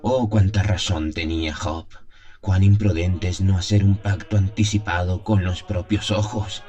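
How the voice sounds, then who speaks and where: A man playing a devout priest who solemnly recalls his innocent youth in a gothic radio drama, in measured, literary phrases. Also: low voice, fairly even intonation, dark tone. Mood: solemn, fervent. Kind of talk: dramatized first-person gothic story narration. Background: music.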